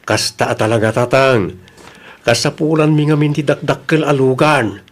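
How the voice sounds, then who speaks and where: A man playing a patient, considerate husband and dutiful son in an audio drama, calm and reassuring. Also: medium pitch, moderate pace, lightly acted. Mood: apologetic.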